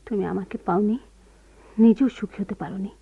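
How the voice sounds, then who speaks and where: A woman's voice: medium pitch, steady tone, a little fast, breathy edge, no echo. A woman acting an anguished, accusing married woman, with theatrical, strained delivery in a Bengali radio drama. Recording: dry, dull lo-fi radio recording.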